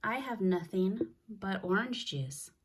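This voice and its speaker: A woman's voice: medium pitch, animated, slow, husky, voice pushed up. A woman voicing a chatty, fussy little girl in a children's storybook read-aloud. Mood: put out.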